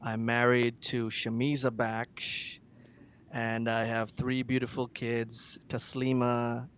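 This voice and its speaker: A middle-aged man from Guyana, a singer talking about himself as a guest in a casual interview, halting with many ums and uhs. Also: mid-range voice, completely flat delivery, fairly bright tone, slight background noise.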